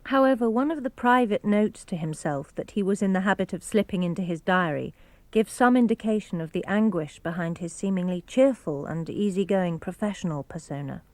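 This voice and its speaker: A woman reads narration and diary entries in a clear, composed way, at a medium pitch. Her voice is recorded close and dry in a radio studio. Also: fairly quick, a little mellow, dry recording.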